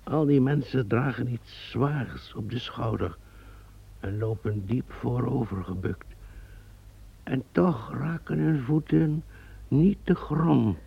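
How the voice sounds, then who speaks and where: An actor playing a brooding man descended from a traitor in a radio play, speaking in a solemn, measured way. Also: medium pitch, animated, heavily acted. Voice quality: gravelly. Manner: solemn, measured, visionary storytelling. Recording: dull lo-fi old radio recording.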